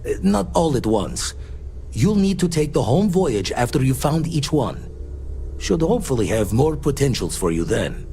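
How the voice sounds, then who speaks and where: An actor playing a thoughtful space explorer in a video game, speaking in folksy, clipped phrases. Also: male voice, medium pitch, big pitch swings, normal speed, warm tone, a little hiss or hum. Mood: musing, explanatory. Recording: music behind the voice.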